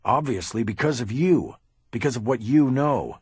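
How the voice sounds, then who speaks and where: A tough middle-aged cop, voiced by a man reading the book. His lines come out blunt and profane in a husky tone, recorded dry and close with no room around it. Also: medium pitch, expressive, moderate pace, darkish tone, no room sound, pitched above the performer's own voice. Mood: stern. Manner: blunt, curses, barks orders. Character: gruff tough middle-aged male police detective. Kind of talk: audiobook character reading.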